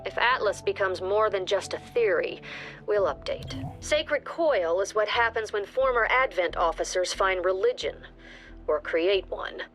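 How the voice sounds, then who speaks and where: An actress playing a stern commander briefing a tactical police squad in a clipped, authoritative way. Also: female voice, mid-range voice, animated, unhurried, a bit bright. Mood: stern, businesslike. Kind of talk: scripted game briefing narration. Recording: music under the voice.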